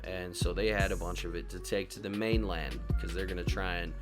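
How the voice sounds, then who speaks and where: A man's voice: deep voice, fairly even intonation, brisk. A man hosting a gaming channel with casual walkthrough commentary, brash, boastful and sweary in slangy phrasing. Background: music.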